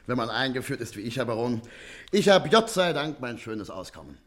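An actor playing an obsequious, shifty informer in a stage comedy, deferential and eager to please. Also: male voice, mid-range voice, big pitch swings, a little fast, lightly acted. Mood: servile, self-justifying. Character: obsequious, shifty male informer, self-styled hunting writer. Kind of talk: theatrical stage comedy acting.

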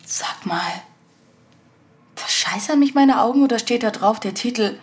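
A woman's voice: on the high side, lively intonation, measured pace, breathy edge. A woman playing a curious, concerned friend in an audio drama, talking casually and hesitantly, sometimes near a whisper. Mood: puzzled. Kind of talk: naturalistic audio drama dialogue.

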